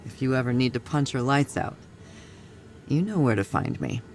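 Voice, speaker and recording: A woman's voice: very deep voice, animated, normal speed, a little mellow. An actress playing a battle-weary, resilient woman soldier in a video game, speaking plainly and reflectively with a wry edge. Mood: relieved, resolute. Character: battle-weary, resilient female soldier, tough but tender. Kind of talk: dramatic video game cutscene acting. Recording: music or faint ambient noise behind.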